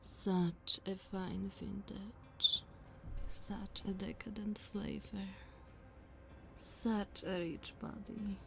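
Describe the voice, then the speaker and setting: Woman doing a tall vampire noblewoman for asmr roleplay, really slow and drawn out, haughty, bossy, mocking, talks all formal. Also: medium pitch, fairly even intonation, vocal fry, bright, forceful tone, a little hiss or hum, full character voice, low-quality recording. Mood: indulgent, teasing. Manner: slow, condescending, theatrical formal diction.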